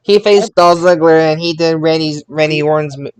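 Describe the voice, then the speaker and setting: Halting young-sounding guy chatting casually. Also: on the high side. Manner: halting, often restarting mid-sentence. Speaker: podcast co-host. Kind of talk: casual wrestling recap chat.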